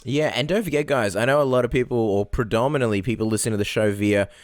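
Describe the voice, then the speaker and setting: A man's voice: mid-range voice, quick pace, dry recording. A man co-hosting a combat sports podcast in casual chat, joking dryly and repeating phrases. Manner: dry joking, repeats himself. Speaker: podcast co-host.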